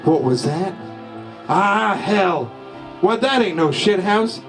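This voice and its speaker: A man reading a comic first-person story aloud in a slow, folksy deadpan drawl at a live comedy show. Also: high-pitched, over music. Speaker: storyteller reading his father's short story.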